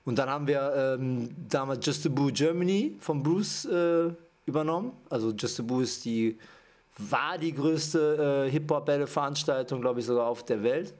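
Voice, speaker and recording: A man's voice: mid-range voice, normal speed, a little mellow. A man from Japan, a dancer and choreographer, talking as a podcast guest, hesitant with many fillers. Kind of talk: casual interview talk.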